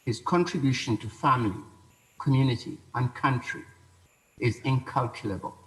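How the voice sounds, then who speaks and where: A man's voice: mid-range voice, moderate pace, a bit bright, poor audio. Man reading a formal funeral eulogy.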